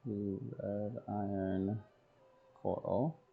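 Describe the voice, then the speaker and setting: A man streaming a game, talking to himself in halting, terse fragments. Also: fairly low pitch, fairly even intonation, vocal fry, narrow, tinny audio. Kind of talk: muttering item names while making a list.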